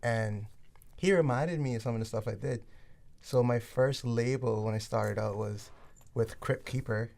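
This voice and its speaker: A man's voice: medium pitch, a little slow, gravelly, darkish tone. A man, a musician and producer, recounting his career casually on a radio show.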